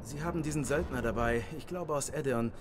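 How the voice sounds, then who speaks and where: A man's voice: medium pitch, fairly quick, noisy background, natural voice. A man voicing a chatty, wry male fellow prisoner who talks in an amused, easygoing way. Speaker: fellow captive. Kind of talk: German game dub.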